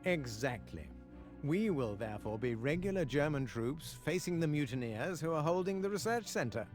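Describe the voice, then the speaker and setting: Actor as authoritative officer over music. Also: male voice, a little high, lively intonation, normal speed, close to an everyday voice. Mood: measured, resolute. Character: commanding American army officer, shrewd and earnest. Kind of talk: video game cutscene acting.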